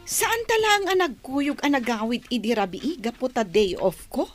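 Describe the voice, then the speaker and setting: A woman with a northern Philippine accent voicing a nosy, excitable young village woman in a comedy drama. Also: high voice, moderate pace, faint music behind. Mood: surprised, prying.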